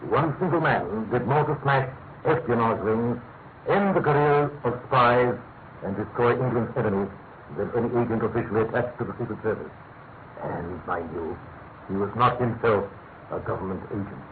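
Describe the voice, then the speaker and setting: Casting: male actor as stern senior intelligence chief, sardonic and measured, radio play, narrow phone-like sound with faint noise. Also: fairly low pitch, close to an everyday voice. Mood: reflective, grave. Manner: dry, sardonic, deliberate. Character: gruff older male spy chief, dry and exacting. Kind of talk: radio drama acting.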